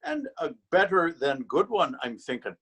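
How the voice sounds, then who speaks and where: A man's voice: on the high side, wildly swooping pitch, normal speed, a bit bright, slightly rough, exaggerated voice. A man playing a proud, easily insulted police officer in a readers theater drama, stern and threatening. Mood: proud, assertive. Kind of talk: readers theater stage acting.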